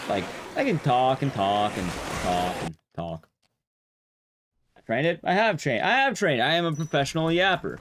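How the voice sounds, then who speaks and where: A young man streaming to his chat, talking casually, rambling and chatty with sudden jumps in pitch. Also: background noise.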